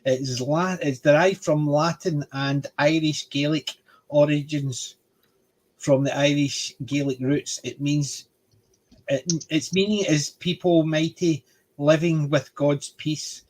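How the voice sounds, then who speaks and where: A man's voice: fairly high pitch, slow pace, a little mellow, low-quality recording. A Scottish man hosting a casual panel chat, chatty and opinionated, reading facts aloud. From Scotland.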